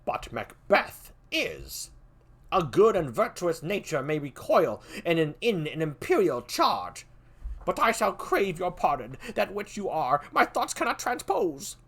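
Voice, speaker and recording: A woman's voice: fairly low pitch, big pitch swings, measured pace, slightly rough, pitched above the performer's own voice, full character voice. A woman voicing a young cautious prince in a raised, earnest, pleading voice while reading a play aloud.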